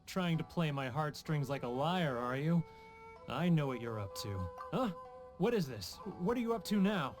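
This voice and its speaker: A man's voice: a little high, lively intonation, moderate pace, music in the background, natural voice. An actor playing a homesick, guilt-ridden male merchant in a video game, theatrical and sighing, self-pitying in manner. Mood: suspicious, irritated. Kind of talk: video game character acting.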